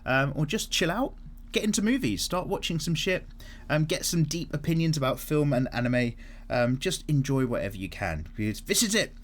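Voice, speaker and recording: Lively man chatting while drawing. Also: on the high side, moderate pace. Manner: rambling, friendly, casual swearing, lots of fillers. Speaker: art channel host. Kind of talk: casual chat while sketching, reading comments.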